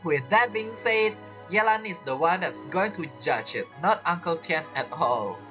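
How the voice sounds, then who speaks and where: A man's voice: fairly high pitch, lively intonation, a little slow, over music. A young man giving chatty, playful commentary over a game playthrough. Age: young adult. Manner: chatty, playful, reacts to the characters. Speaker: gaming streamer.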